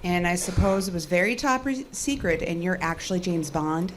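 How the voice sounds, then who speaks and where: A woman's voice: lowish voice, expressive, normal speed, a little hiss or hum, close to an everyday voice. An actress playing a sceptical, short-tempered female technician in an audio drama, brusque and sarcastic. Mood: sarcastic, impatient.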